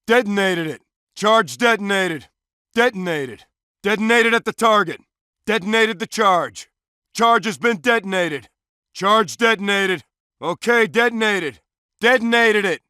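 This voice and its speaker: A man's voice: sits high, unhurried, sharp tone, clear, resonant tone, no room sound, clean studio sound. An actor playing a tough male SWAT police officer in a video game, giving clipped, commanding tactical call-outs. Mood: matter-of-fact. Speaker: video game player-character voice. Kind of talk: scripted tactical barks and radio call-outs.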